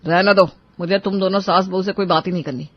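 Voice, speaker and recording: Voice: mid-range voice, steady tone, fast, no echo, lightly acted. A performer voicing a sulky, hot-tempered young married man quarreling with his mother and wife, in a dry, dull lo-fi recording. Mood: grumbling. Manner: sulky, irritable.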